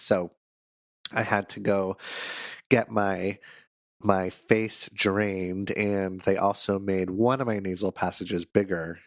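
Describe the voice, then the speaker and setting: Male therapist host, low nasal voice, sick and congested, dry close mic, playful. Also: no echo. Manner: playful, self-mocking, chatty. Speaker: counselor and podcast host. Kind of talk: solo podcast monologue.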